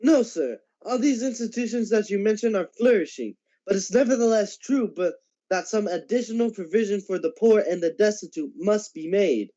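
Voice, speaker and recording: A man playing a polite, earnest gentleman collecting for charity in a radio drama, speaking formally and a little haltingly. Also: sits high, lo-fi sound, lightly acted.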